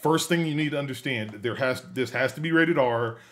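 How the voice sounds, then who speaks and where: Emphatic man with a mid-pitched podcast voice. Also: brisk, fairly bright tone. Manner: emphatic, repeats and rephrases his points. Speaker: podcast co-host. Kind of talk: casual discussion of films and games.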